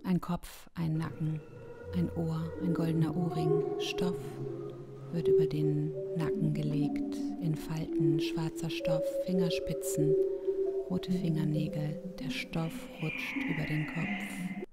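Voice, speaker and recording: A woman reads scripted audio description in sparse, measured, detached phrases. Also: low-pitched, level tone. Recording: loud music over the voice. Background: loud music.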